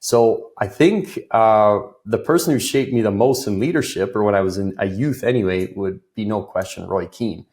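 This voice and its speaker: A man's voice: on the low side, moderate pace, few pauses, darkish tone. A man from Ireland, speaking as an interview guest with a voice slightly off from a cold, chatty and full of fillers. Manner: loose, filler-heavy, laughs easily. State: recovering from a cold, voice a bit off. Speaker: guest, business consultant. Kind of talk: conversational interview.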